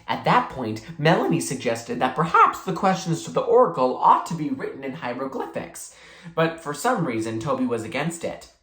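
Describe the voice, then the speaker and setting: Read-aloud narrator for a children's novel, highly varied intonation, roomy acoustic. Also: big pitch swings, moderate pace, a bit bright. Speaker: book reader. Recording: echoey room.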